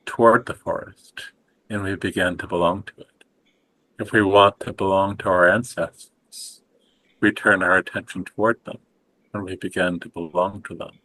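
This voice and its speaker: A middle-aged man speaking slowly and softly in a reflective, contemplative conversation, his voice trailing off at the ends of phrases. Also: deep voice, poor audio. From United States. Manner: slow, soft and contemplative, trailing off quietly. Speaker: guest teacher.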